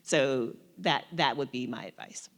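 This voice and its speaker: A woman's voice: very deep voice, measured pace. A woman panelist giving earnest, reflective advice, often repeating words as she goes. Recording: reverberant hall.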